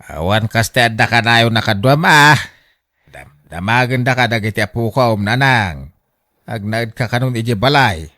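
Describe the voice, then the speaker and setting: A man's voice: medium pitch, lively intonation, normal speed, pushed and bright. A man acting a scheming grown son in a family radio drama, coaxing and insistent in his delivery. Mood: persuading.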